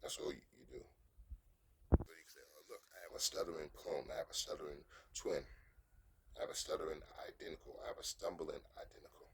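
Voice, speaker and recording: Very deep husky man, rambling advice. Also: fairly even intonation, fast, in a whisper. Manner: stumbles and restarts, repeats phrases for emphasis. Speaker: vlogger giving dating advice. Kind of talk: rambling motivational monologue.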